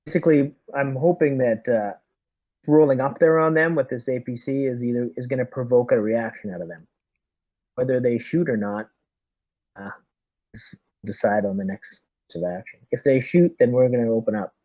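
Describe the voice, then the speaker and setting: A man playing a tabletop roleplaying game as squad leader, giving deliberate, military-style orders. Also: mid-range voice, dark tone, no room sound, muffled recording. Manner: deliberate, military briefing phrasing. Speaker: tabletop RPG player leading the squad as lieutenant.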